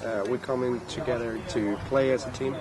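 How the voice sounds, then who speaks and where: A young man, a footballer giving halting post-match answers with many fillers, into handheld recorders outdoors. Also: medium pitch, fairly even intonation, crowd noise. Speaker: goalkeeper. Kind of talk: post-match press interview. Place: outdoor press area by a sponsor backdrop. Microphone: handheld recorders held close.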